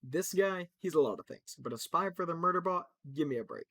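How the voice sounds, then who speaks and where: A man voicing a brash, joking male trickster in a loud, high-pitched voice. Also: lively intonation, brisk, dry recording, clean studio sound. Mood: mocking.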